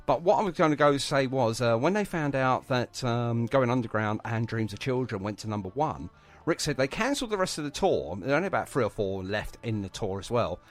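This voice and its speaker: A man's voice: medium pitch, expressive, a little fast. A man hosting a live radio interview in a chatty, effusive, rambling and anecdotal way. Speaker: radio show host.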